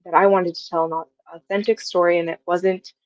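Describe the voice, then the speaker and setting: Young woman, low voice, dull lo-fi sound. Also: little pitch movement, brisk, no echo. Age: 20s. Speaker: guest, audio drama writer. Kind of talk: casual interview chat.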